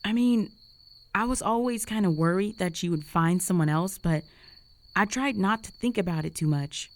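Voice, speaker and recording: A woman's voice: mid-range voice, moderate pace, lightly acted. A young woman playing a jealous, flustered roommate with a secret crush in an intimate romantic audio roleplay, speaking in a hesitant, conversational way. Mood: sad, resigned. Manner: conversational, hesitant, sighing, emotionally exposed.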